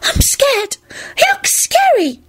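A woman's voice: very high-pitched, wildly swooping pitch, takes it slowly, fairly bright tone, pitched above the performer's own voice, exaggerated voice. A woman voicing a timid, frightened young girl in a storybook, frantic and blubbering. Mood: frantic, scared.

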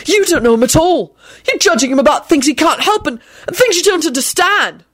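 A woman's voice: fairly high pitch, very animated, moderate pace, darkish tone, slightly rough, pitched above the performer's own voice. A woman voicing an earnest, easily outraged young man in an audiobook story, talking in a heated, emphatic way. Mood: indignant.

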